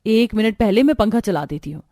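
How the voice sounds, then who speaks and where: A woman's voice: mid-range voice, lively intonation, brisk, warm tone, no echo, close to an everyday voice. A woman voicing a polite, concerned young sister-in-law in a cartoon story.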